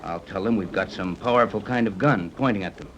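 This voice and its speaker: An actor playing a confident, decisive ship captain in a 1930s radio adventure serial. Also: male voice, lowish voice, a little fast, a bit bright, natural voice. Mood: brisk, commanding. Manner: decisive, clipped orders. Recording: noisy 1930s radio recording.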